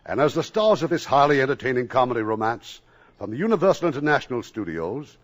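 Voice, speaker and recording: A man's voice: medium pitch, sing-song, fast. A man hosting a 1950s radio drama show, speaking in a genial, polished announcer's manner. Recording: old radio broadcast with faint music.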